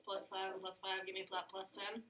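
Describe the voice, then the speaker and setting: A woman's voice: mid-range voice, completely flat delivery, a little fast, background noise. A woman teaching a geometry class, upbeat and coaxing her students with questions. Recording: echoey classroom, mic at a distance.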